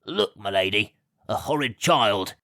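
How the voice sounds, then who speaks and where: A man's voice: fairly low pitch, lively intonation, unhurried, fairly bright tone, no room sound, clean studio sound. Guy doing a nasty little servant, sneery and snarly. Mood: sneering. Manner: sneering, rude. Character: snarling spiteful little manservant.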